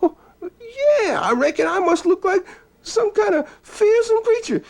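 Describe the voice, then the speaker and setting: A man voicing a tricky, boastful male rabbit in a storybook tale, with a high, sing-song squealing voice. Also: sing-song, measured pace, creaky, full character voice. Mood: gleeful. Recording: guitar playing behind.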